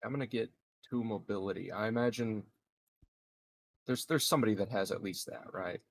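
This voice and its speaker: A man's voice: lowish voice, brisk, no room sound. A man chatting casually as a player in a tabletop game, thinking aloud a little hesitantly. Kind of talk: casual gaming chat.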